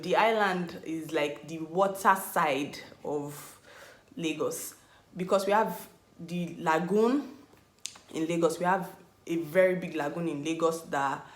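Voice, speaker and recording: A young Nigerian woman in her twenties, talking casually to camera. She rambles, corrects herself and often searches for words. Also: low voice. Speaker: vlogger. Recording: echoey room.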